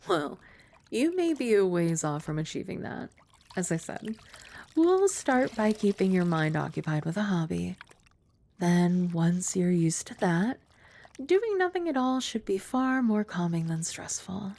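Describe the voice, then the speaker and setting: A young woman voicing a composed young maid who is also a childhood friend. She uses formal, polite speech with gentle, dry teasing, is soft-spoken, and sometimes drops to a whisper. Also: medium pitch, animated, unhurried, vocal fry. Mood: calm. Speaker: ASMR roleplay performer. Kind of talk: ASMR roleplay.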